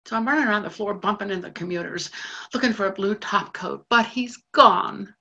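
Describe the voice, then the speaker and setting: An actress playing a lonely, lovesick woman who mops floors at a train station, talking bluntly and haltingly with plenty of swearing. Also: medium pitch, vocal fry, sharp tone, breathy edge, heavily acted. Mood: yearning. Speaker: actor in a monologue. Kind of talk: stage monologue.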